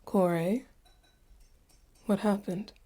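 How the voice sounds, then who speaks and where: A woman's voice: medium pitch, steady tone, extremely slow, dark tone. A woman playing an anxious, solicitous mother goddess in a radio play. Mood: upset, insistent. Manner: solicitous, probing, insistent. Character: fussing, anxious mother, a grieving harvest goddess.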